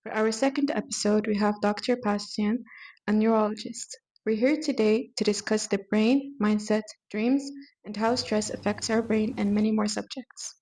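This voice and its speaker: A woman's voice: medium pitch, fairly even intonation, moderate pace, a little mellow, husky, low-quality recording. A young adult woman hosting a podcast, reading prepared questions with hesitant ums. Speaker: podcast host.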